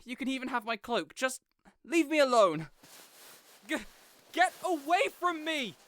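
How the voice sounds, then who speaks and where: A man's voice: very high-pitched, big pitch swings, a little slow, bright, forceful tone, full character voice. An actor playing a spoiled, arrogant young nobleman in an audio roleplay drama, theatrical and sarcastic, sneering at his guard. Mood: panicked.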